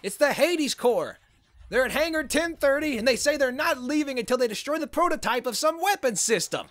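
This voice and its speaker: A man's voice: very high voice, lively intonation, fairly quick, a bit bright, no room sound. A man voicing an anxious, defiant marine pinned down in an anime war fan dub, strained and urgent.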